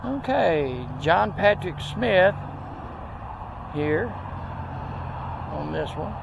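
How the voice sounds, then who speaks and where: Texan male host, gravelly and slightly high, folksy tour talk, wind and traffic noise behind. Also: animated, very slow, highs cut off. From Texas. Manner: folksy, easygoing, with frequent fillers. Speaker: video host. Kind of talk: casual walking tour narration. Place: outdoors at a rural roadside cemetery, windy. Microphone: camera on a handheld tripod.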